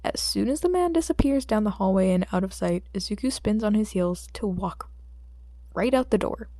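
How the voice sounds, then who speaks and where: Female fiction narrator: expressive scripted read, relaxed mellow timbre, very dry close sound. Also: medium pitch, moderate pace, no echo. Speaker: audiobook narrator.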